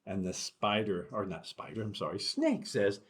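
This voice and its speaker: A man's voice: lowish voice, very animated, moderate pace, slightly rough. A man in his fifties reading a children's story aloud with folksy asides, recorded in a small office on a webcam. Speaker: school superintendent. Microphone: webcam at desk distance.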